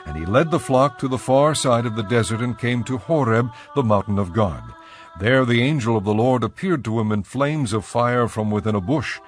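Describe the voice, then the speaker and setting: A man narrating a dramatized scripture reading as a measured storyteller. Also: mid-range voice.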